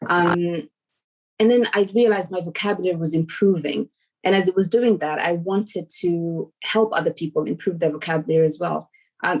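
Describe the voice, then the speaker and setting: A woman from Somalia answering interview questions over a video call in an earnest, reflective way, with soft "um" fillers. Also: on the low side, poor audio. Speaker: guest.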